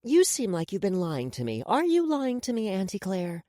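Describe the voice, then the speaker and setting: A woman's voice: mid-range voice, wildly swooping pitch, normal speed, warm tone, no room sound, clean studio sound, pitched above the performer's own voice. A woman playing a menacing, mocking, commanding woman in charge, as audiobook dialogue. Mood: cold, mocking.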